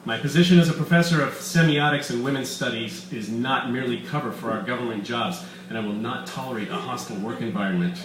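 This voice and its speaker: A man voicing a forceful, indignant female professor in a story reading, stern and formal, in an echoey room. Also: mid-range voice, quick pace, few pauses, crowd noise, lightly acted. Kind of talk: live short-story reading, character dialogue. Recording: echoey room, distant mic, background noise.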